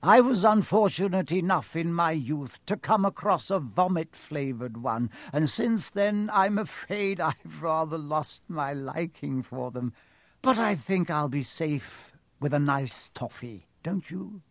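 A man voicing an elderly wizard headmaster in a storybook, dreamy, whimsical and gently pleased with himself, musing without hurry. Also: a little high, animated, unhurried, dry recording, voice pushed up. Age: older.

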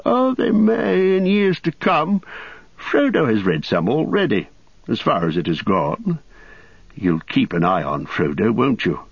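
A man's voice: medium pitch, huge pitch swings, unhurried, vocal fry, slightly rough, dry recording, lo-fi sound, pitched above the performer's own voice, exaggerated voice. A man voicing an old, kindly but prickly and possessive hobbit in an audiobook, with an agitated, defensive manner. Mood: eager. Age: older. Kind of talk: audiobook character acting.

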